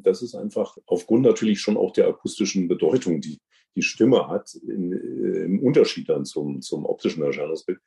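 Deep, very mellow male interview guest. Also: vocal fry. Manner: deliberate, halting, wordy explanations. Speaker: podcast guest and radio drama award juror. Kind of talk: unscripted interview commentary.

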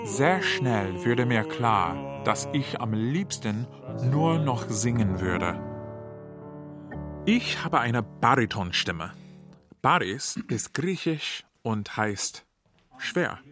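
An opera singer delivering a scripted, introspective radio play monologue in a measured way. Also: medium pitch, big pitch swings. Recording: radio production with loud music. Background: loud music.

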